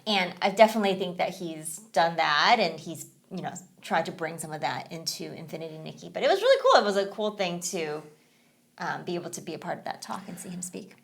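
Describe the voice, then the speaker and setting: A woman's voice: on the low side, lively intonation, brisk, vocal fry. A woman co-hosting a gaming podcast, chatting casually with a rambling, filler-heavy manner. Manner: chatty, rambling, many fillers like "you know". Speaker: podcast co-host. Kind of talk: casual chat.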